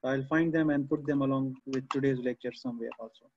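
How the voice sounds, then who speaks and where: A man's voice: mid-range voice, fairly even intonation, fast, a little mellow, a little hiss or hum. A man in his thirties giving an engineering lecture online, explaining patiently, repeating key terms and often saying okay, heard through a computer mic on a video call. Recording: dull lo-fi video-call audio.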